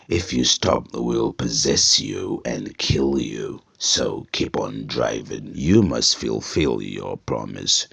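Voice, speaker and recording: A man's voice: a bass voice, gravelly, fairly bright tone, slightly rough, dry recording, voice pushed down, full character voice. A man voicing an ancient, commanding demon in a folktale, speaking solemnly and formally. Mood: menacing, commanding. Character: ancient menacing male demons, commanding yet honourable.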